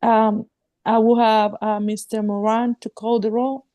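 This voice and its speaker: A woman with a sore throat chairing a council meeting as mayor over a video call, speaking haltingly with fillers and restarts. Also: fairly high pitch, level tone, mellow, no room sound, clean studio sound.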